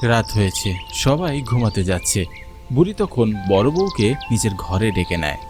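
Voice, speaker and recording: A man's voice: fairly low pitch, big pitch swings, moderate pace, music in the background. A man reading scripted narration for a fairy-tale story.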